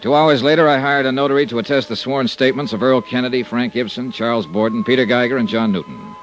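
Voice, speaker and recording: An American actor playing a tough, sardonic insurance investigator in a clipped 1950s radio drama style. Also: male voice, medium pitch, fast talker, soft background music, close to an everyday voice, poor audio. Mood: brisk, matter-of-fact. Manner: clipped, hard-boiled delivery. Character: tough, sardonic male insurance investigator narrating his case. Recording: vintage radio drama recording.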